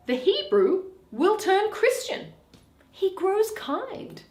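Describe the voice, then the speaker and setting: A woman's voice: extremely high pitch, very animated, takes it slowly, darkish tone, pitched above the performer's own voice, full character voice. Woman as a proud, scornful merchant, roomy echo. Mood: assured. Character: Antonio, proud, scornful, confident merchant man. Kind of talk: dramatic Shakespeare reading. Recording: roomy, echoing.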